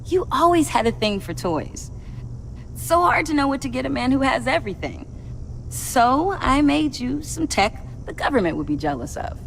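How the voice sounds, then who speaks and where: Game role: playful, confident young woman inventor, highish voice, bouncy intonation, loves to tease. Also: sing-song, normal speed, gravelly, a little hiss or hum, full character voice, low-quality recording. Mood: playful, proud. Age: young adult. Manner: playful, self-assured. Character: cocky, teasing young female tech whiz. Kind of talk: video game voice acting.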